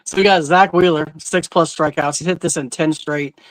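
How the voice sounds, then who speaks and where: Man, sports betting co-host, casual, stat-heavy, slightly high voice, dry sound. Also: steady tone, normal speed, dry recording, rough recording. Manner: laid-back, explains picks with stats. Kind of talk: casual betting picks talk.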